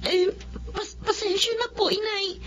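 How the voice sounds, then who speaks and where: A woman's voice: very high voice, steady tone, a little slow, a bit bright, slight background noise. An actress playing a meek, wounded young woman with a Filipino accent, timid and plaintive in a radio drama. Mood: tearful apology. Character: meek, tearful young daughter-in-law, apologetic and wounded.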